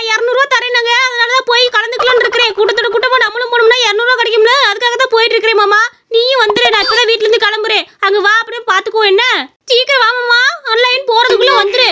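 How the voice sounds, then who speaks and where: A woman's voice: very high-pitched, background noise. A woman playing a chatty, money-minded young village woman in a comic skit.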